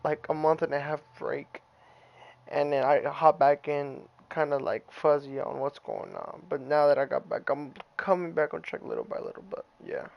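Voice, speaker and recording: A young man chatting casually on a game livestream, halting and repeating himself in slangy speech. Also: fairly high pitch, very animated, vocal fry, lo-fi sound. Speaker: game streamer.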